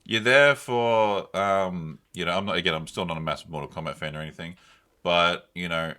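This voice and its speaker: A man's voice: deep voice, moderate pace, pushed and bright. A young man co-hosting a podcast, giving casual, unscripted reviews in a rambling, slangy way. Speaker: podcast co-host. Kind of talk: casual unscripted film and game review chat.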